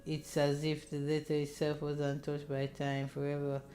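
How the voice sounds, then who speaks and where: A woman's voice: deep voice, completely flat delivery, normal speed, mellow, a little hiss or hum. A woman streamer reading a visual novel aloud, with dramatic narration broken by casual asides.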